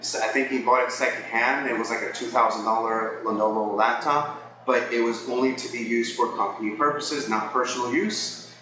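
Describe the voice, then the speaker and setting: Male vlogger, mid-pitched, conversational and explanatory, talking to viewers in a very reverberant space with people audible behind. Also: fairly even intonation, moderate pace, background noise. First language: English. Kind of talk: casual advice talk to viewers. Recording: echoey space with crowd chatter behind.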